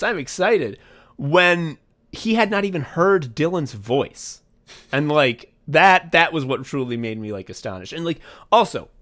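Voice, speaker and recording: Man, podcast co-host, casual chat, swears a lot, rambling, animated storytelling, mid-pitched voice. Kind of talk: casual behind-the-scenes chat.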